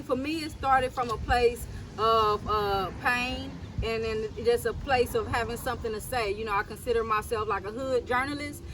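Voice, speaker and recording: A woman's voice: medium pitch, background noise. A woman from South Los Angeles, a rapper, talking casually in an interview with easy fillers and repeats. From South Central Los Angeles. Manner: relaxed, repeats words, many fillers.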